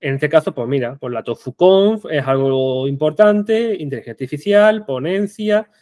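Young man, Andalusian accent, conference talk, somewhat high voice, dry close sound. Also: moderate pace, no echo. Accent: Andalusian Spanish. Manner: animated, rhetorical questions, frequent restarts. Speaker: conference speaker. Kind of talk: online tech conference talk. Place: small indoor room.

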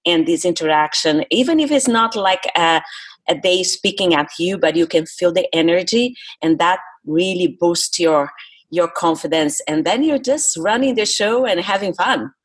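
Husky low-voiced Brazilian woman. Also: expressive, moderate pace. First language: Portuguese. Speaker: guest, public speaking coach. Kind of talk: interview talk.

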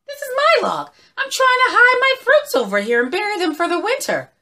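A busy, fussy storybook squirrel fellow, voiced by a woman, pitched high and chattering. He is indignant and protective of his things. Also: very animated, a little fast, bright, forceful tone, exaggerated voice.

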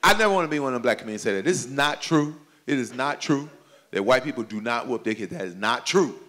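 A man in his forties from doing stand-up comedy at a church celebration, swinging between loud high exclamations and low confiding asides. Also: animated, quick pace. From Chicago, USA. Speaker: comedian pastor. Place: banquet hall at a church event. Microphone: handheld microphone. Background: audience laughter.

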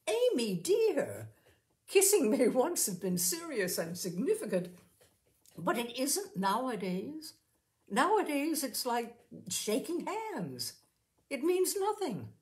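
A woman's voice: sits high, huge pitch swings, slow pace, a little mellow, voice pushed up, heavily acted. A woman reading a story, voicing a tall, smart young woman who is cool, amused and teasing in a breezy way. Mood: airy.

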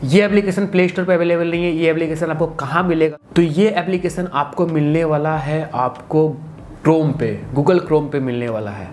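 Male tutorial host, twenties, slightly high pitch, casual teaching style, some background noise. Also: steady tone, normal speed, a little mellow. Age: 20s. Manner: instructional, repeats stock phrases. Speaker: tutorial presenter. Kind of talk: casual phone-app tutorial. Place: small indoor room.

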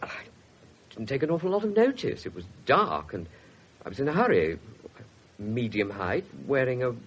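A jittery, defensive man under questioning in a seventies radio play. His voice is husky and swings about in pitch as he hesitates. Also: sing-song, normal speed, lo-fi sound. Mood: nervous, evasive. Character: nervous, evasive man questioned by police. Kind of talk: 1970s radio drama acting.

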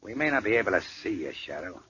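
A man's voice: fairly low pitch, very animated, fast, bright, forceful tone. A man playing a ruthless gangster boss in a 1940s radio drama, tough and threatening. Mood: menacing. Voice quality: gravelly, tough. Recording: noisy old radio recording.

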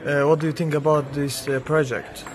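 A man's voice: fairly high pitch, little pitch movement, normal speed. A man, a non-native English speaker, asking questions as an interviewer. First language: not English. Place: busy indoor school space. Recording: chatter around the voice.

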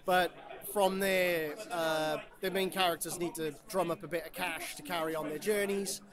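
A man's voice: fairly high pitch, fairly even intonation, moderate pace. A man from Wales, a comic creator chatting casually about his book at a convention. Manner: loose, rambling, with fillers and dry jokes. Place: convention floor. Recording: busy convention crowd behind.

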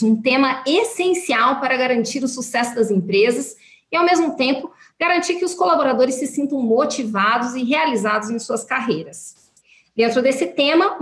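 A woman with a Brazilian Portuguese accent hosting a podcast, giving clear, measured introductions. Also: sits high. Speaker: podcast host. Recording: roomy echo, faint music.

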